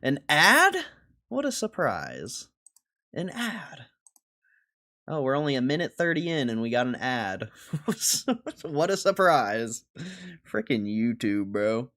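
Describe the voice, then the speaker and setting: A man hosts a livestream with loose, casual reaction commentary. His talk is full of slang and he likes to repeat a phrase for emphasis. His voice sits at a medium pitch. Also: big pitch swings, slow pace, sharp tone. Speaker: livestream host reacting to an interview.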